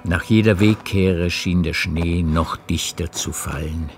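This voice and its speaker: A man narrates a literary radio play in a deep voice. He is measured and composed, with faint music running underneath his words. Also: fairly even intonation.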